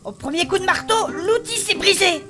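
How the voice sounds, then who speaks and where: Woman playing a very high-voiced, sensible heroine. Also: expressive. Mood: explaining. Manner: polite, measured, mildly chiding. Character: gentle, sensible female adventurer, mildly chiding. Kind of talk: comic fantasy audio drama. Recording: music behind the voice.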